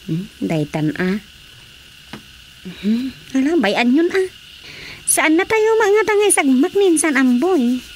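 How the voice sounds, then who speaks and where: A woman's voice: sits high, big pitch swings, slow pace, pauses often, a little hiss or hum, full character voice. An actress playing a teasing, lovestruck young village woman in a Filipino radio drama comedy, with an Ilocano accent. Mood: chatty, curious.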